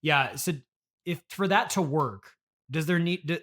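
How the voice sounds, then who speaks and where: Halting, awkwardly polite man chatting. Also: a little high, a bit bright. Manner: halting, hesitant, awkwardly polite, repeats himself. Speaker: podcast performer playing himself as interviewer. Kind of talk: improvised casual comedy chat.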